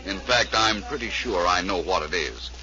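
A cool, urbane gentleman detective with a low voice. He is suave and unflustered in a vintage radio mystery, heard through a muffled old recording. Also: lively intonation, moderate pace, very noisy. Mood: affable. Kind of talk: 1940s radio acting.

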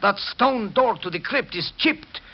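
A man's voice: high-pitched, lively intonation, measured pace, breathy edge, full character voice. A man acting a sinister, sardonic accomplice in a 1940s radio mystery, theatrical and insistent. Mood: urgent. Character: sinister, sardonic male accomplice who faked his death. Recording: muffled, noisy 1940s radio recording, partly echoing.

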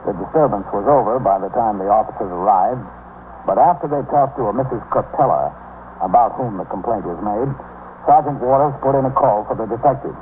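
Actor as sober American police captain, tinny radio. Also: male voice, fairly low pitch, lively intonation, slight background noise, natural voice. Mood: sober, factual. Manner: measured, factual, official. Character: sober, methodical male police precinct captain. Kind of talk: scripted 1950s radio drama narration. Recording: tinny, humming 1950s radio recording.

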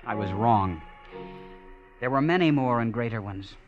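A man's voice: mid-range voice, animated, moderate pace, highs cut off, faint music behind, natural voice. A man acting an earnest, wonderstruck young guest who tells his story in an old-time radio drama. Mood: wistful, wondering. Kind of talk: 1940s-50s radio drama acting and narration.

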